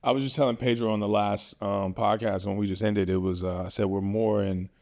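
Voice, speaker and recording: Fast-talking casual podcast host, man. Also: lowish voice, fairly even intonation, darkish tone. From Northern Virginia, US. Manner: fast, loose, lots of fillers.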